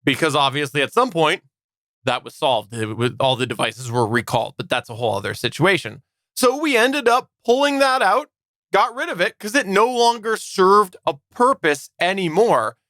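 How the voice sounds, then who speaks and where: A man's voice: high voice, big pitch swings, normal speed, bright, forceful tone, dry recording, clean studio sound. A man co-hosting a podcast, explaining points in a structured, semi-scripted way. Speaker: podcast co-host.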